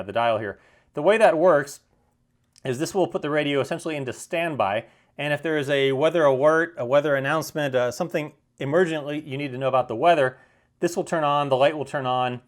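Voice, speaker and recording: A man giving an unscripted product demonstration in a plain-spoken, explanatory way, sometimes halting to correct himself. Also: mid-range voice. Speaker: product reviewer.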